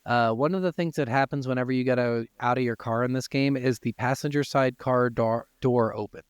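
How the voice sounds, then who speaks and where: A man co-hosts a casual gaming podcast with a clear, smooth voice at a middling pitch. He talks informally and swears freely, recorded close and dry. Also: fairly even intonation, a little fast, no room sound. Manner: informal, profane. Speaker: podcast host.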